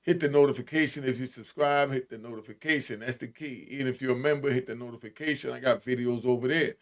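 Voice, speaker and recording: A man's voice: medium pitch, steady tone, fast. Casual, slangy man doing reaction commentary. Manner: informal, slangy, emphatic. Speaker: host of an online commentary channel.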